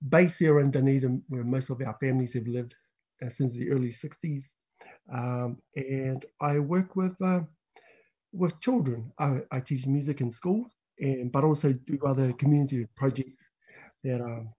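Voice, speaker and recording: A man's voice: medium pitch, dark tone, slightly rough, highs cut off. A man from Tokelau, giving a casual interview and hesitantly thinking aloud. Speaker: interview guest, musician and music teacher.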